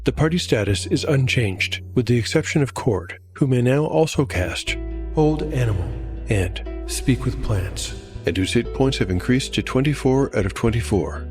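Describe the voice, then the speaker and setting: A man narrates a dark fantasy audio drama as its solo game master. His delivery is that of scripted storytelling and game commentary. Music plays clearly behind him, along with cricket sounds. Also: medium pitch, animated, moderate pace.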